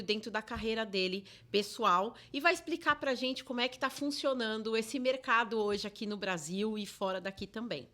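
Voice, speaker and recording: A woman's voice: a little high, fairly bright tone. A woman hosting a podcast interview, introducing her guest and giving frequent affirming backchannels. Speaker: podcast host, therapist.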